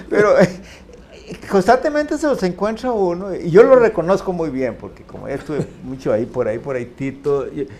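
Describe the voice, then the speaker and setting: An older man, chatting on a panel with many pauses and restarts. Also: high voice, expressive, darkish tone. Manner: hesitant, many restarts and fillers. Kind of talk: casual chat.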